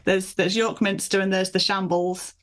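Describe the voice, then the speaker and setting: A woman's voice: medium pitch, level tone, normal speed. A woman with a British accent, a guest author, talking casually in an interview.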